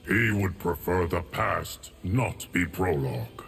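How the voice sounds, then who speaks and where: An actor voicing a calm, wise, ancient man in a video game, with a gravelly voice. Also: low-pitched, takes it slowly, faint music behind, heavily acted. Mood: solemn.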